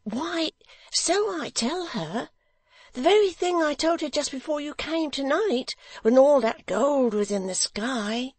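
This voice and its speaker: A woman's voice: high-pitched, animated, unhurried, darkish tone, no echo, pitched above the performer's own voice. A woman voicing an eager, simple-minded young man who talks in a breathless, wondering and pleading way.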